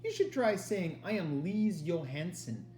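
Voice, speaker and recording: A man's voice: high voice, sing-song, measured pace, warm tone, reverberant, a little hiss or hum, pitched above the performer's own voice. A man voicing an earnest, reassuring young girl confiding in her best friend in a storybook reading. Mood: teasing.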